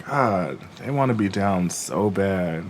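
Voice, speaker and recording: A man co-hosting a podcast, hoarse from a cold, joking in a campy, brash way. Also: deep voice, lively intonation, takes it slowly, a little hiss or hum. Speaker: podcast co-host. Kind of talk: casual comedic chat.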